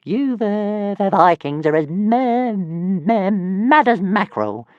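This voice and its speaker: A man voicing a tiny, sleepy young male pet dragon who stutters in a squeaky voice, in a storybook reading. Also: very animated, takes it slowly, clean tone, no room sound, lo-fi sound, full character voice. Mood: sleepy, amused.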